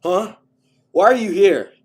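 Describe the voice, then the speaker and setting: A man voicing a furious, threatening middle-aged father who shouts in a strained, raised voice. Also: high voice, lively intonation, takes it slowly, breathy edge, voice pushed up, exaggerated voice. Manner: shouting, menacing.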